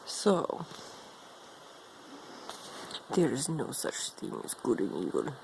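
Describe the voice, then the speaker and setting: Woman playing a slow, creaky-voiced seductive vampire. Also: huge pitch swings, slight background noise, exaggerated voice, low-quality recording. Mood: smug, teasing. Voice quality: gravelly, low, creaky. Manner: slow, haughty, teasing, calls listener darling. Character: ancient female vampire demon, seductive, condescending, menacing. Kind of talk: improvised in-character role play monologue to viewer.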